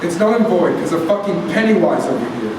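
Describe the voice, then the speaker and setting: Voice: mid-range voice, lively intonation, fast talker, close to an everyday voice. An actor voicing a brash, foul-mouthed teenage boy with an indignant, sneering manner in a staged script reading. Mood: sulky. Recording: echoey hall from far off, clear noise.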